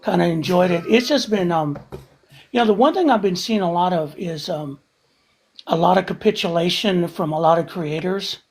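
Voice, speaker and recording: Husky-voiced man ranting heatedly. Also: sits high, moderate pace. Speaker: regular guest. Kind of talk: casual live-stream chat.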